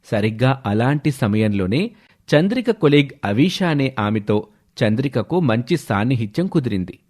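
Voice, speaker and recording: A man's voice: mid-range voice, very animated, normal speed, a little mellow. A man narrating a scripted story.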